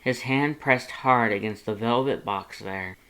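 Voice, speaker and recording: Expressive mid-pitched audiobook narrator. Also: unhurried, rough recording. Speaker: fanfiction audiobook narrator and channel host. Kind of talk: expressive scripted story narration.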